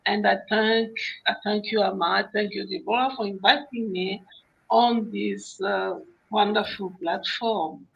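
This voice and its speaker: A woman from Congo speaking English, her voice gravelly. She is earnest and a little apologetic about her English, and the sound is dull and lo-fi. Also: mid-range voice, steady tone, measured pace. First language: French. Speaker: guest.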